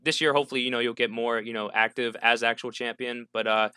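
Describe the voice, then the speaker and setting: A man's voice: medium pitch, steady tone, fast, bright, forceful tone, clear, resonant tone, dry recording, clean studio sound. A man co-hosting a casual interview podcast, asking long, meandering questions. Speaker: podcast co-host.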